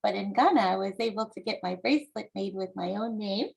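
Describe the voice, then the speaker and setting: A woman from the United States, an author reading her book aloud and explaining it to young listeners in a deliberate, encouraging, instructive way. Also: mid-range voice, gravelly.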